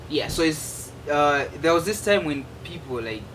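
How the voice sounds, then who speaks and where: A man's voice: a little high, expressive, moderate pace, fairly bright tone. A man, answering interview questions in an earnest, loose way full of fillers. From Malawi. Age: 20s. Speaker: guest artist. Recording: noisy room with air conditioning hum.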